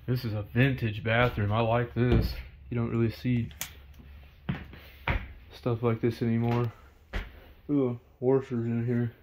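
A man's voice: medium pitch, level tone, measured pace, pauses often, background noise. A man in his twenties walks through an empty abandoned house on a first-person camera. He chats casually to his viewers and points out what he finds. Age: 20s. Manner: talks to viewers while exploring, points out objects in plain remarks. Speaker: urban explorer vlogger. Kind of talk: casual walkthrough narration. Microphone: first-person body or head camera.

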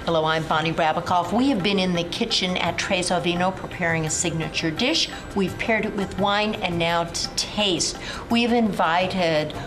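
A woman's voice: fairly low pitch, moderate pace, few pauses. An older woman hosting a TV cooking show in a restaurant, talking enthusiastically and exclaiming often. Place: restaurant dining room and wine bar. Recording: background music.

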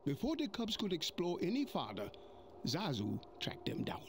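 An actor playing an old male mandrill elder, narrating a storybook read-along in an expressive way. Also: high-pitched, moderate pace, a little hiss or hum. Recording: music playing behind.

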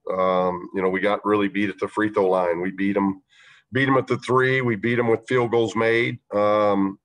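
Low-voiced man, halting coach. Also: poor audio. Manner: measured, halting, many fillers. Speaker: basketball coach. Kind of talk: post-game press conference answers.

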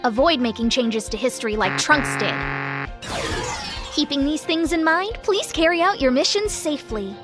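A woman's voice: sits high, animated, normal speed, over loud music. A woman playing a cheerful young guardian goddess in a game, giving a formal, instructive announcement. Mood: bright, official. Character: cheerful, official young female guardian deity briefing recruits. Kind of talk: scripted announcement.

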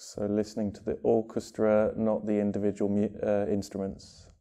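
Male meditation guide, very soft dark tone, smooth and clear, short phrases with long pauses. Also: on the low side, monotone. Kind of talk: guided meditation, gentle instruction.

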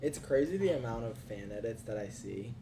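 A young man chatting casually on a livestream from a small bedroom, teasing and swearing offhand, his voice going hoarse. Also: medium pitch, expressive, a little fast, noisy background. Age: young adult. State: losing his voice. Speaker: streamer.

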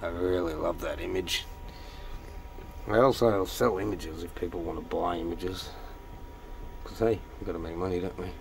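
A young man vlogging casually from inside his van at night, swearing often and rambling bluntly, his voice rough from being sick. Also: deep voice, fairly even intonation, moderate pace. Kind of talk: casual vlog walkthrough. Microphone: handheld phone camera. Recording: noisy heater hum in a van. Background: diesel heater hum.

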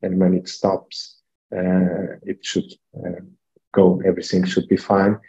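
A man speaking at an online meeting, halting and repeating words as he goes. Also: low-pitched, completely flat delivery, warm tone. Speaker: executive director. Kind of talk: casual meeting talk. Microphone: video call. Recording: dull call audio.

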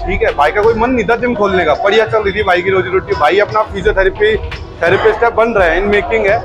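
A man's voice: sits high, fast talker, noisy background. A young man from India chatting casually as he vlogs his day, with music playing behind him. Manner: chatty, repeats "ठीक है". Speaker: vlogger. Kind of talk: casual vlog chat. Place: outdoors and in a gym.

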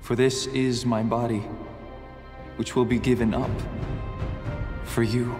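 A man playing a solemn priest, reciting the words of consecration at Mass deliberately. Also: mid-range voice, level tone, natural voice. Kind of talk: solemn liturgical recitation in a film. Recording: echoing church reverb under music.

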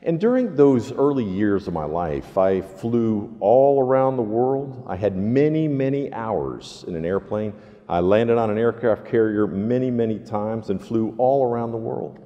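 An older man preaching a sermon as a pastor, earnest and deliberate, leaning on emphatic repetition in a church sanctuary. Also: on the low side, mellow, highs cut off. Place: large church sanctuary, indoors. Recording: long hall reverb.

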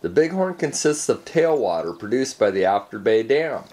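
A man giving calm, measured scripted voice-over narration for an outdoor video. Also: medium pitch.

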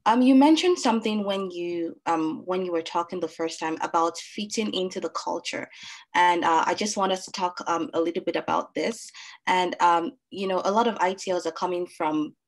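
A woman with a Nigerian accent moderating a panel discussion on a video call, talking in a relaxed way with many fillers. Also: on the low side, moderate pace.

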